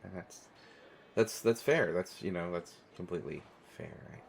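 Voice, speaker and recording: Deep-voiced, stammering shy guy acted by a man. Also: moderate pace, gravelly, a little hiss or hum. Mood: awkward, earnest. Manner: hesitant, stammering, apologetic. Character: shy, awkward, kind young man stranded at an airport. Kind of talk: naturalistic audio roleplay.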